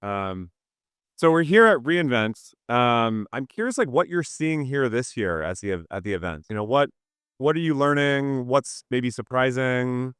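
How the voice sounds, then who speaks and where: A man's voice: medium pitch, expressive, measured pace, fairly bright tone, clean tone, dry recording, clean studio sound. A man hosting a casual interview, speaking in an informal, agreeable way. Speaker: interview host.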